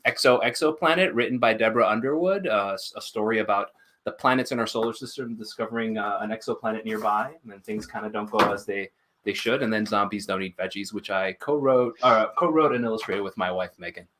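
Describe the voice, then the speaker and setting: A man's voice: lowish voice, fast talker, noisy background. A man in his thirties, born in Colombia and raised in Miami, chatting as a panel guest over a video call with many fillers.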